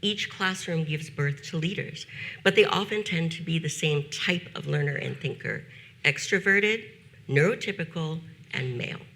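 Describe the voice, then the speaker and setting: A woman in her fifties speaks at a talk with a bright, forward tone and a gravelly edge. Her delivery is deliberate, slowing down to weigh key phrases. She sounds like a practiced teacher addressing an audience. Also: sits low. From United States. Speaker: teacher giving a keynote talk. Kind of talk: prepared conference talk.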